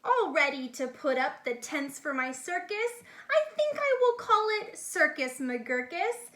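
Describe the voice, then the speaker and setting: Woman voicing an eager boy ringmaster, high and bright. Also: big pitch swings, unhurried, exaggerated voice. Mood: grand, boastful. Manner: grandiose showman's barking, singsong rhymes. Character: young boy dreamer, boastful eager circus ringmaster. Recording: echoey, reverberant room sound.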